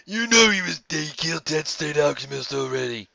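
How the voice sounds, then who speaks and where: Bright, gravelly man reading parts casually. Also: a little high, expressive, moderate pace, dry recording, lo-fi sound. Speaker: guest reader playing character parts. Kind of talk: casual amateur dramatic reading.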